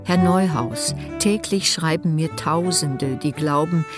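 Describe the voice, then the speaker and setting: An actress playing a dismissive, formally polite European official, reading letters aloud in a bureaucratic manner for a German radio play. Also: female voice, low-pitched, slow pace. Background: prominent music.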